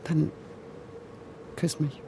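An actress playing a needy, insistent, moneyed older woman in a radio drama, urgent and coaxing. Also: drawn-out words. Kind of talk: modern German radio drama acting. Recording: clearly noisy ambient background.

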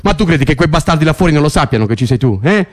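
An actor playing an earnest, idealistic political prisoner in a theatrical radio drama, persuading insistently and urgently with repeated phrases. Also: male voice, fairly high pitch, lively intonation, rapid-fire. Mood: impassioned, pleading.

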